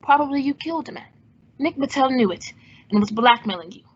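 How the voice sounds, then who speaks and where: A shrewd, unruffled gentleman sleuth acted by a woman speaks in a somewhat high voice. The delivery is wry and assured, as in an old radio mystery, on a dull recording. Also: lively intonation, a little fast, a little hiss or hum. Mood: accusing. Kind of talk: old-time radio drama acting. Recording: dull, muffled lo-fi audio.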